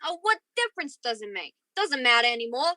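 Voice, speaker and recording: A woman's voice: on the high side, sing-song, brisk, bright, forceful tone, no room sound. A woman playing a hot-tempered, protective lover in a radio crime drama. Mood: bitter. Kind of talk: old-time radio crime drama acting.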